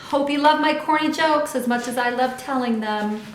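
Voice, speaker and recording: A woman's voice: high-pitched, moderate pace, slight background noise. A woman hosting a children's read-aloud, speaking in a chatty, informal way to young listeners. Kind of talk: children's book read-aloud with casual asides. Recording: echoey untreated room.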